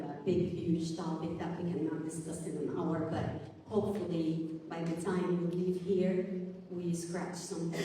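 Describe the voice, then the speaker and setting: A woman's voice: lowish voice, warm tone. A woman from Sudan speaking on a conference panel, earnest and searching for words as she talks. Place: conference hall stage. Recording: roomy hall, distant mic, faint noise.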